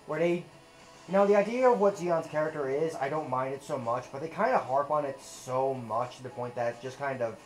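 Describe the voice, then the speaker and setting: A man giving a measured, critical take during a casual let's play chat. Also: mid-range voice, poor audio. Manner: measured, critical, explains at length.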